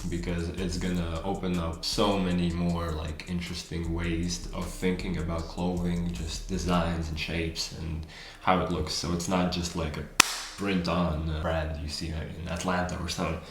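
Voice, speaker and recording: A man's voice: deep voice, little pitch movement, normal speed, few pauses. A man from Latvia with a Latvian accent, chatting casually as a co-host in a question-and-answer session. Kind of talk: casual Q&A chat. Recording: echoey room, background noise.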